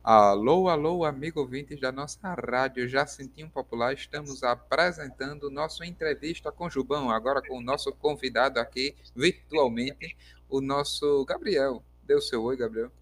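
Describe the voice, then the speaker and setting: A man's voice: mid-range voice, moderate pace, a bit bright. A man hosting a playful mock radio interview podcast, teasing his guest and tripping over his words. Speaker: host of a homemade radio-style interview podcast.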